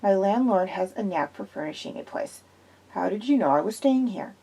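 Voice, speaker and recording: A woman voicing a soothing middle-aged man, an art expert, in a measured tone. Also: mid-range voice, animated, vocal fry, dark tone, husky, low-quality recording.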